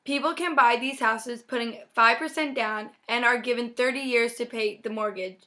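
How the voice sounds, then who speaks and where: A woman's voice: on the high side, steady tone, moderate pace, sharp tone. A young woman reading a scripted student newscast as anchor, in a rehearsed, presentational way. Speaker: news anchor. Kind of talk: scripted 1950s-style newscast, student project.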